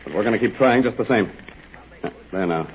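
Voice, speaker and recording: Calm, patient hero captain, voiced by a man, quick delivery, old dull radio sound. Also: fairly low pitch, close to an everyday voice. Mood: steady. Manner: steady, patient, explaining. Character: calm heroic adult aviator captain. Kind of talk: 1940s radio acting. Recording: muffled old radio with faint hiss.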